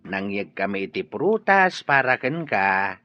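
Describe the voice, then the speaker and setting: A man playing a caring middle-aged father in a radio drama, speaking gently. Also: fairly low pitch, very animated, a little slow, soft background music. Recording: dry muffled studio audio.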